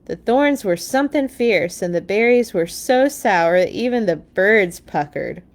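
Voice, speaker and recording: A woman's voice: mid-range voice, lively intonation, unhurried. A woman hosting a children's read-along, reading stories expressively and dropping in casual asides.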